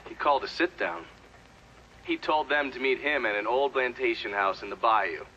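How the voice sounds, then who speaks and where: Guy playing a witness testifying, sharp forceful voice, dull lo-fi mic with a little noise, game cutscene. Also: mid-range voice, moderate pace, lightly acted. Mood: assured. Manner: plain, declarative.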